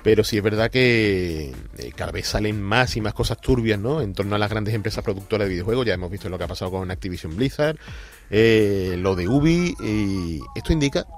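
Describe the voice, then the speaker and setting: Low-voiced man for casual gaming-news chat, lots of fillers, light music bed. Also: expressive, a little fast. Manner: chatty, hesitant, many asides and fillers. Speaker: radio co-host.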